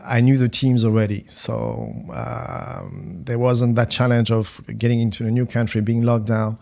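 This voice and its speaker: A middle-aged man from France, a finance executive speaking as a podcast guest, talking haltingly with many repeated words and fillers. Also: medium pitch, level tone, creaky, mellow. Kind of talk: conversational podcast interview.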